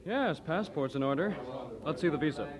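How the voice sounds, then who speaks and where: An actor playing a matter-of-fact, affable immigration officer in an audio drama. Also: male voice, fairly high pitch, animated, moderate pace, close to an everyday voice. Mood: businesslike. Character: businesslike, easygoing male immigration officer. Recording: dull sound, busy crowd behind.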